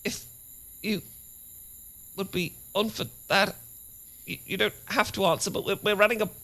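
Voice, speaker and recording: A British man playing an adorkable, nervous and eager boyfriend with a secret monster side, stammering and halting in a romantic audio roleplay. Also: sits high, steady tone, bright, forceful tone. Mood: bashful, hopeful. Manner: flustered, heavy stammering and halting restarts. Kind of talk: romantic audio roleplay acting, addressed to listener.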